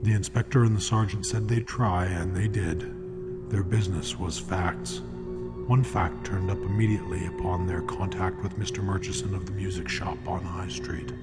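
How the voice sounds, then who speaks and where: An actor playing a grave, ominous narrator of a radio crime story, deliberate and dramatic. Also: male voice, low-pitched, fairly even intonation, lightly acted. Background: music.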